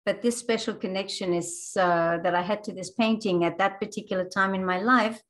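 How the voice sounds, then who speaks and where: A woman's voice: mid-range voice, steady tone, brisk. A middle-aged woman, born in France and raised in Australia, with a mixed Australian accent, talking as a guest author in a casual book club chat, enthusiastic and full of fillers and restarts.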